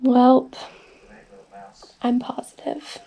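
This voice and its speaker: Sick stuffy girl vlogging, kinda high voice, drags her words out slow, lots of like and um. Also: completely flat delivery, lo-fi sound. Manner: rambling, lots of filler words. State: congested, sick. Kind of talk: casual personal video diary.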